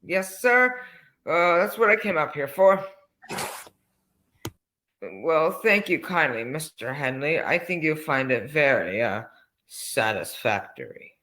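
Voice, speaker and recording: An eager, eccentric old salesman from an old-time radio play , acted by a man. His voice sits fairly high with a sharp, bright edge. He is folksy and fussy, repeating himself with excessive politeness. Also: sing-song, slow, pauses often, a little hiss or hum, heavily acted. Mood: polite, grateful. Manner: fussy, folksy, repetitive, overly deferential.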